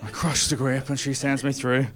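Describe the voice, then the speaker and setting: A young man telling a stand-up comedy story to a live audience, with self-deprecating, deadpan asides. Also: medium pitch, moderate pace, noisy background.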